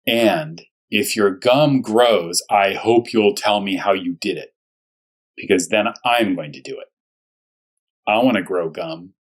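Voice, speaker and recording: Husky, lowish-voiced man teaching young children. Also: slow pace. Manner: simple short phrases with repetition for children. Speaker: first grade teacher reading aloud. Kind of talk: storytime read-aloud and discussion for young children.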